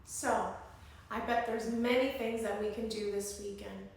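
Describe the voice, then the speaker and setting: A woman teacher leading an interactive children's lesson, encouraging and prompting with questions and pauses, recorded in an indoor room. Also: mid-range voice, fairly even intonation, creaky, a little hiss or hum. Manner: encouraging, instructive, prompting listeners to respond. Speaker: early-grade classroom teacher leading circle time. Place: indoor room with strong echo. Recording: very echoey room, distant microphone.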